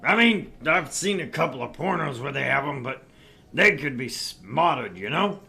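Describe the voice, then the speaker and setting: A man's voice: a little high, animated, moderate pace, vocal fry, pushed and bright, full character voice. A man voicing a brash, crude, sleazy middle-aged tabloid editor in a visual novel read-through, with a pompous, pushy manner. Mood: crude, musing.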